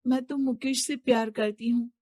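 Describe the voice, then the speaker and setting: A woman's voice: on the high side, fairly even intonation, normal speed, a little mellow, breathy edge, no echo, studio quality. Woman acting a stubborn, pleading young daughter. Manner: pleading, insistent. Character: young rich man's daughter, simple, stubborn in love. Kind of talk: Hindi cartoon moral story dialogue.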